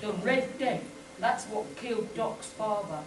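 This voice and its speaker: A woman's voice: mid-range voice, measured pace, natural voice. A woman playing an adventurer's blunt, wry sidekick in a spoof radio play. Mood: grim. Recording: echoey hall, distant mic, faint noise.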